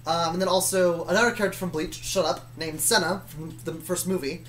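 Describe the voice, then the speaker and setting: Casual storyteller, medium pitch, rambling and hesitant, self-correcting, faint background noise. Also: steady tone, a little fast, fairly bright tone, low-quality recording. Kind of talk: casual storytelling about own fictional universe.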